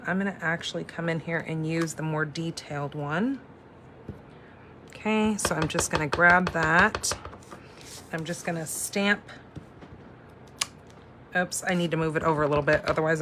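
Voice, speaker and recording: A woman in her forties hosting a casual live craft demo, chatty and thinking out loud as she works. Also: low voice, background noise. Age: 40s. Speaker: crafting host and instructor.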